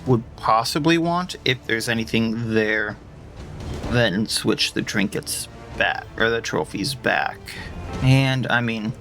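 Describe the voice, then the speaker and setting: A man's voice: on the low side, takes it slowly, gravelly, fairly bright tone. A man losing his voice, casually talking through his game moves as he plays. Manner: muttered tactical thinking aloud. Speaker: gamer. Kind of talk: casual gameplay commentary. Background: game music.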